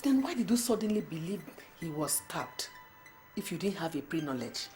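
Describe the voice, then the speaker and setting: A woman acting a wife who questions her husband, measured and accusing. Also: lowish voice, very animated, mellow, soft background music. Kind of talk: melodramatic film acting.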